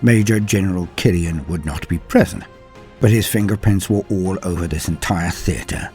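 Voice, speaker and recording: A man giving dramatic scripted narration of a war story in a measured, grave manner. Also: sits low, dark tone, faint music behind.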